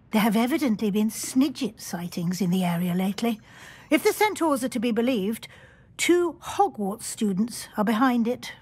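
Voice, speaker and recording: Husky, composed British witch professor. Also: fairly high pitch. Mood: knowing. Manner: measured, authoritative, encouraging. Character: kindly, shrewd senior witch professor, composed and proud. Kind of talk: dramatic video game voice acting.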